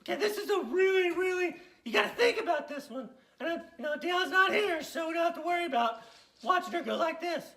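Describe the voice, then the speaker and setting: A performer voicing an excitable, giggly little girl puppet who hosts a kids' show, gushing and repeating exclamations. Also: very high-pitched, fast, heavily acted. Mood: pondering, playful. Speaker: puppet show host for kids. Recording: roomy echo, faint music.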